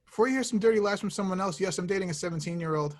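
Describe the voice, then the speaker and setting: A man playing a defensive, awkward young slacker in a table read, speaking casually and deadpan. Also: high voice, racing, close to an everyday voice.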